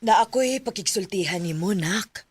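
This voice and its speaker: A woman's voice: mid-range voice, lively intonation, moderate pace, slightly rough, no echo. A woman playing a practical, reassuring mother in a radio drama, with a Filipino accent. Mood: serious, reassuring.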